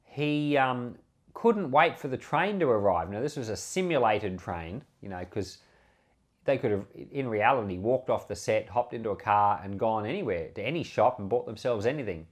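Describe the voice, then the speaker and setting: A man's voice: on the low side, lively intonation, moderate pace. A man with an Australian accent, a pastor giving an informal sermon, explaining scripture conversationally and posing rhetorical questions. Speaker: pastor and Bible podcast host.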